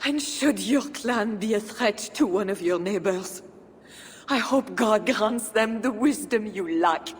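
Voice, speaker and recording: Woman acting a slow, solemn grieving queen. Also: medium pitch, lively intonation, lightly acted. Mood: cold reproach. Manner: solemn, reproachful. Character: grieving, bitter, dignified queen. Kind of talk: dramatic video game cutscene acting. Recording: long hall reverb with noise.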